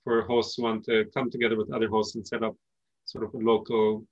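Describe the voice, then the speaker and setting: A man's voice: lowish voice, monotone, a little fast, no room sound. Man explaining in interview, dull dry sound. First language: Persian. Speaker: company founder being interviewed. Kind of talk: conversational interview answers.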